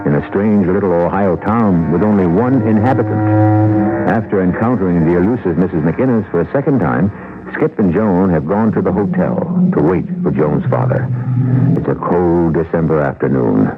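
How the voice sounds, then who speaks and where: A man hosting and narrating a radio mystery series with scripted, measured, ominous storytelling, on an old broadcast. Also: sits low, lively intonation. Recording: tinny radio broadcast with loud music.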